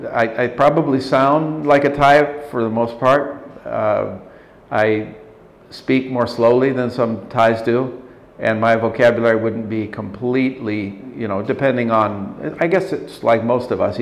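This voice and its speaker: A man in his sixties, an interview guest, talking in a measured, thoughtful way with frequent restarts in an office meeting room. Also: mid-range voice. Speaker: interview guest, leadership consultant. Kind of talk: reflective interview talk. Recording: roomy indoor sound.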